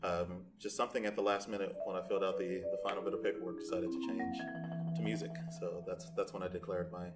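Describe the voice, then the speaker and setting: A man's voice: sits low, little pitch movement, fast. A man who plays percussion, talking reflectively in a documentary interview. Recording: loud mallet percussion music underneath.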